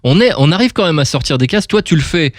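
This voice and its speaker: A man's voice: on the high side, sing-song, quick pace, dry recording. Male radio interviewer, dry close sound. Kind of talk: casual interview chat.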